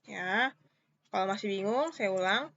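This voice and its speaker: A woman's voice: mid-range voice, expressive, a little slow, a bit bright, lo-fi sound, slight background noise. A woman with an Indonesian accent giving an informal step-by-step tutorial as a lecturer, repeating points and checking understanding with short questions. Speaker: accounting lecturer.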